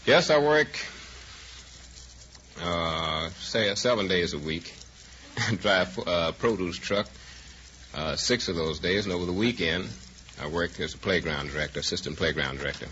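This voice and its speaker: Through a dull, faintly noisy old radio recording comes an American man in his forties with a lowish, forceful voice. He answers as a quiz contestant, matter-of-fact and slightly hesitant. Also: a little slow, long pauses. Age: 40s. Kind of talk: plain answers in an interview.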